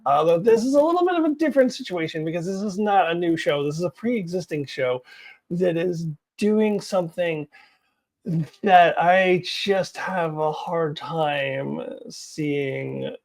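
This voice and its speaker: A woman's voice: lowish voice, lively intonation, unhurried, darkish tone. A woman hosting a vlog, giving casual opinion commentary in a chatty way, thinking aloud as she goes. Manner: chatty, thinking aloud, laughs through remarks. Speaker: host of a fan commentary vlog.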